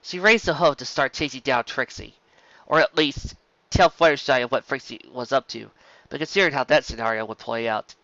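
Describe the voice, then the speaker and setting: A narrator reading fan fiction aloud in an expressive, animated way, occasionally stumbling over the words. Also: mid-range voice, a little fast, no echo, muffled recording. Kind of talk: expressive scripted story narration.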